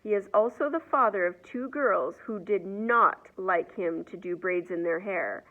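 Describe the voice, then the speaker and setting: A woman reading a children's picture book aloud in an expressive storyteller's manner. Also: mid-range voice, measured pace, phone-quality sound. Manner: expressive, asks the young listeners questions.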